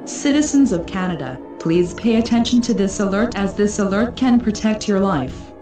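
A woman announces a scripted emergency warning at a middling pitch. She sounds a little far from the mic in an echoing space, with clear music behind. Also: animated, normal speed.

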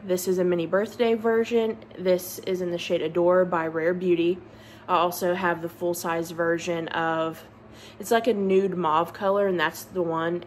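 An American woman chatting casually as a vlogger about products, with a gushing, emphatic manner. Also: on the low side. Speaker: beauty and book vlogger. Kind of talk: casual chat, makeup product rundown. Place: casual vlog while at a beach trip. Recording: clear ambient background noise.

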